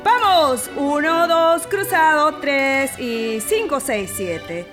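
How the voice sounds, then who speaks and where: An exuberant dance instructor in a radio play. She calls out in a high voice, commanding and encouraging, with music clearly playing behind her. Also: takes it slowly, fairly bright tone. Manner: calling out counts, encouraging. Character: exuberant Latin dance teacher, commanding and welcoming.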